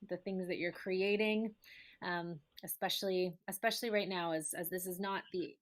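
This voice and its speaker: A woman co-hosting, making show announcements in an effusive, gracious manner. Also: mid-range voice. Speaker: co-host, teacher.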